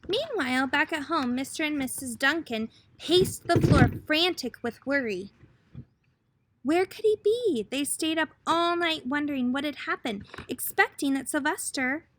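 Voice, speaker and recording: Woman storyteller, fairly high voice, expressive picture-book read-aloud for young listeners, dramatic pauses.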